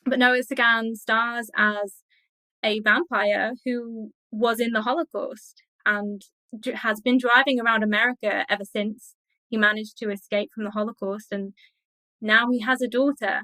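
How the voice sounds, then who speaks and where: A woman's voice: fairly high pitch, fairly even intonation, measured pace, sharp tone, no room sound, poor audio. A woman speaking as an expert interview guest, explaining in a conversational, scholarly and enthusiastic way.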